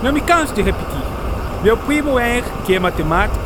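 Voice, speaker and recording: An actor playing a proud, curious writer who loves science, theatrical and explanatory, in a radio drama. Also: male voice, extremely high pitch, lively intonation, fast talker, noisy background. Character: Jules Verne, proud, curious writer enthusiastic about science. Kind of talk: educational radio drama acting. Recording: music behind a studio radio drama.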